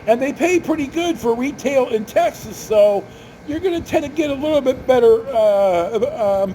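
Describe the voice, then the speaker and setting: Older male storyteller, slightly high pitch, casual, meandering and folksy delivery, faint vehicle rumble in the background. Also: warm tone. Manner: folksy, hesitant, rambling, mildly profane. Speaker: storytelling vlogger. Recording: noisy, with vehicle rumble behind.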